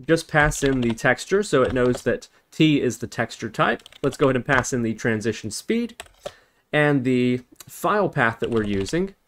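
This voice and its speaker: A man's voice: medium pitch, slight background noise. A man narrating a step-by-step programming screencast as an instructor, explaining and thinking aloud as he works.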